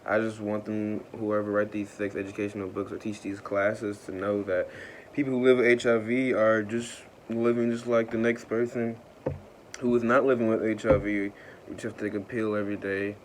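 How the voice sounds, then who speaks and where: A man in his twenties speaking in a soft, hesitant way in an interview, with many pauses. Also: on the low side, flat delivery, a little hiss or hum. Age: 20s. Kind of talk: interview testimony.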